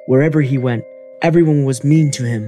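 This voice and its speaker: A man's voice: mid-range voice, steady tone, fairly quick, dark tone, soft background music. A man giving scripted narration of a children's fairy tale.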